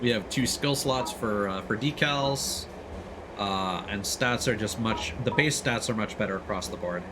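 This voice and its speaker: A man's voice: fairly low pitch, a little slow, noisy background. A man doing casual live gameplay commentary, thinking aloud and chatting to his viewers as he plays. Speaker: game streamer. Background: video game music.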